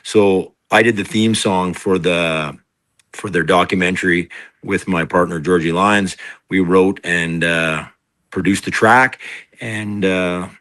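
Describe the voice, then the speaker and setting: A middle-aged man with a deep voice, a singer-songwriter telling stories in a casual interview, rambling with many fillers and restarts. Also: steady tone, slow pace, a bit bright, no echo, clean studio sound. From Canada.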